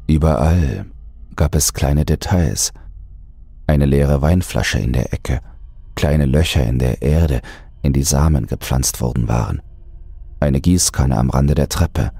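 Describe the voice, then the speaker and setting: A man's voice: a bass voice, gravelly, mellow, slightly rough, dry recording. A man reading a scripted horror story as an audiobook narrator.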